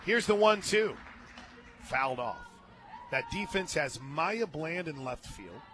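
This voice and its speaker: An American male radio announcer calls softball live from an outdoor booth. His delivery is conversational, dropping into short, clipped play calls, with clearly audible stadium noise behind him. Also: a little high, animated, slow pace. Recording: very noisy outdoor ballpark booth.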